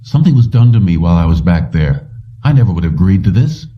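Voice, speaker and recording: A man's voice: deep voice, very animated, fast, muffled recording. Man reading as a dazed, indignant male worker. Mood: disbelieving.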